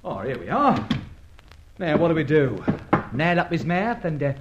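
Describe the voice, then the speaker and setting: A cheerful henchman from an old radio adventure, eager and roguish. His slightly high voice bounces widely in pitch. The old recording is dull and crackly. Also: sing-song, normal speed. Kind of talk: old-time radio drama acting.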